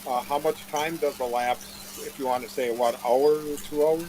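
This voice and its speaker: A man's voice: mid-range voice, fairly even intonation, moderate pace, background noise. A man answering questions in a casual live chat, rambling and plain-spoken. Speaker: interview guest.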